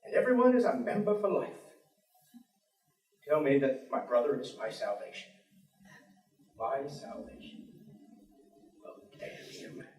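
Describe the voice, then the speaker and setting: A man's voice: sits high, sharp tone, heavily acted. A man on stage playing a bitter, articulate atheist professor who longs for death, in a theatrical declamatory delivery. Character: bleak, bitter, intellectual atheist male professor longing for death. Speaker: stage actor in a play. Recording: echoey theatre stage, distant microphone.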